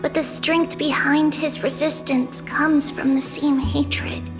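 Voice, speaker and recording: A woman voicing a composed, serious, quietly analytical young girl in a video game. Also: high-pitched, level tone, takes it slowly, music in the background. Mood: grave. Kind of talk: restrained game voice acting.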